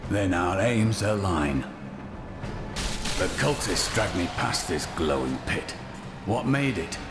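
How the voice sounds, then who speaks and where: A man's voice: low voice, lively intonation, takes it slowly, very noisy, exaggerated voice. A man playing a proud, stern templar warrior in a fantasy game, formal and righteous with solemn, declamatory delivery. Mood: urgent, resolute. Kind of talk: heroic fantasy game acting. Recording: echoing, under loud game music.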